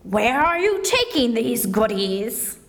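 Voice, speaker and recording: Big Bad Wolf, voiced by a woman, slow and sly. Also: fairly high pitch, very animated, fairly bright tone, exaggerated voice. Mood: coaxing. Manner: slow, coaxing questions. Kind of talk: school English audio play.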